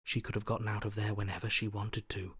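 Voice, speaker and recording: A man voicing a wary, skittish prey creature for a video game, hushed and breathy, often close to whispering, with a cautious, watchful delivery. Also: on the low side, little pitch movement, fast, no room sound. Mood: wary, fearful. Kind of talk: video game character acting.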